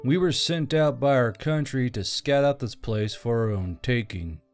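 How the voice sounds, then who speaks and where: A man voicing a weary, self-doubting, soft-hearted military commander in audiobook dialogue, speaking in a subdued way. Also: medium pitch, moderate pace, soft background music, close to an everyday voice. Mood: confessional, heavy.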